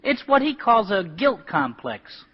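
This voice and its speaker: A man's voice: high voice, big pitch swings, a little slow, slightly rough, long reverb tail, full character voice. A young man acting a naive, downhearted working fellow in a 1940s radio drama, slangy and artless. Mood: glum, artless. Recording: dull vintage 1940s radio recording.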